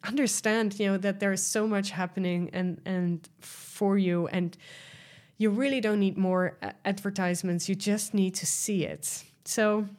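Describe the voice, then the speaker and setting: A woman's voice: mid-range voice, fairly even intonation, unhurried, darkish tone. A woman from the Netherlands with a Dutch accent, hosting a solo podcast and talking through sales tips in a chatty, enthusiastic way with many fillers and restarts. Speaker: podcast host and sales coach.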